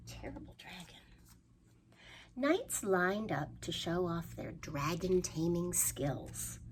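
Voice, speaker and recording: A woman presenting a children's storytime, reading aloud in a sing-song, questioning way with playful pauses and hushed asides. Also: fairly low pitch, big pitch swings. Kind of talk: animated read-aloud for young children. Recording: faint background noise.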